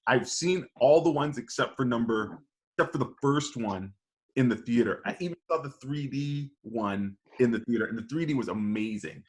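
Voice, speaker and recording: A man with a medium-pitched voice talks as a panelist on a casual podcast. He speaks like an earnest fan, informal and emphatic. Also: normal speed. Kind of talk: casual chat.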